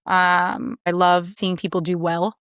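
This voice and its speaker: A woman's voice: lowish voice, monotone, unhurried, fairly bright tone, smooth, no echo, clean studio sound. A woman in her thirties from Maryland, a podcast guest telling her story in a confident, chatty way. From Maryland, USA. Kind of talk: casual conversation.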